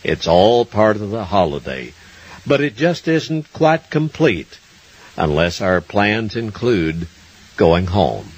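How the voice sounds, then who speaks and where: An older man with an American accent and a husky voice, announcing a scripted folksy stage show as host. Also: lowish voice, very animated, takes it slowly, rough recording. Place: wooden stage in a barn-style theatre. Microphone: stand microphone.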